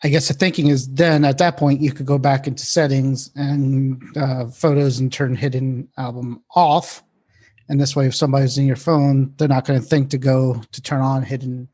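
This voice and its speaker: Informal man co-hosting, mid-pitched voice. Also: little pitch movement, normal speed, a little mellow. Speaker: podcast co-host. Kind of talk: casual tech chat.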